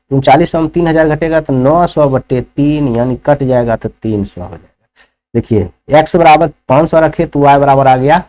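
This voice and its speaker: A man's voice: mid-range voice, warm tone, low-quality recording. A man teaching maths step by step, instructing and often checking understanding with rhetorical questions. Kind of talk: classroom lesson, working problems step by step.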